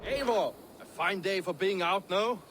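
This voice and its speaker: An actor playing a proud, ambitious Viking warrior and shipbuilder in a video game cutscene, earnest and self-assured. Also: male voice, sits high, measured pace, fairly bright tone, a little hiss or hum. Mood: hearty.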